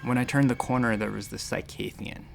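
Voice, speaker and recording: An actor playing an anxious, wry space station doctor who rambles and halts in a sci-fi audio drama. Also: male voice, mid-range voice, steady tone, gravelly, music in the background, lightly acted. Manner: rambling, halting, self-interrupting. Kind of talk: audio drama acting, conversational narration.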